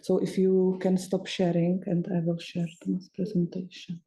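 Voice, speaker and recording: Woman, panel moderator, flat low voice, mellow, measured. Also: poor audio. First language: Czech. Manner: measured, steering the discussion. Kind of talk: panel discussion.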